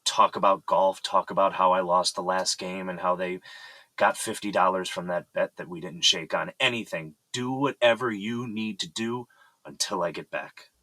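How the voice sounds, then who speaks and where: A man's voice: low voice, fairly even intonation, moderate pace, sharp tone, no echo. An actor playing a composed, evasive but polite businessman in an audio drama. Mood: urgent, worried.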